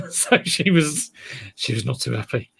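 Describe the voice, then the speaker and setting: A man's voice: mid-range voice, unhurried, vocal fry, a little hiss or hum. A man with a South East London drawl, chatting casually on a live stream, rambling about his sales and reading out viewer comments. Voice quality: relaxed drawl. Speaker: eBay reseller livestream host.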